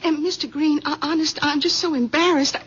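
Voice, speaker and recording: An actress playing a scatterbrained, apologetic young bank teller with a gushing, stammering manner in a 1970s radio drama. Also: female voice, sits high, fairly even intonation, a little fast, a bit bright. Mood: embarrassed, pleading. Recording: dull vintage radio recording.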